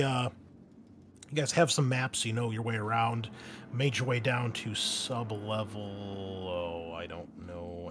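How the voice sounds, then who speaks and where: A man's voice: on the low side, background noise. A man hosting a tabletop roleplaying game as game master, recapping in a casual, rambling, joking and teasing way. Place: indoors at a desk.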